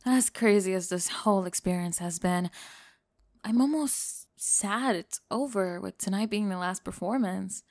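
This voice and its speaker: A candid young theatre performer, acted by a woman for an audio drama. Her voice is medium in pitch with an excitable streak, and the recording is dry and close. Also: a little slow, no room sound, natural voice. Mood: elated. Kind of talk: audio roleplay drama acting.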